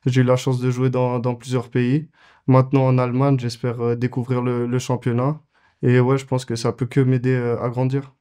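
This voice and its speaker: A man's voice: medium pitch, monotone, moderate pace, dark tone, clean tone. A man in his twenties, a goalkeeper answering interview questions casually, with many fillers and restarts. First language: French. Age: 20s.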